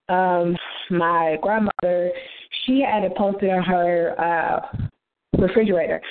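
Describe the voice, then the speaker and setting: A soft-voiced woman, a poet being interviewed, chatting casually and telling stories with lots of quoted dialogue. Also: mid-range voice. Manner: chatty, quotes herself and others. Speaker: interview guest, spoken word poet. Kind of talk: casual interview chat, storytelling. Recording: tinny phone-line internet radio sound.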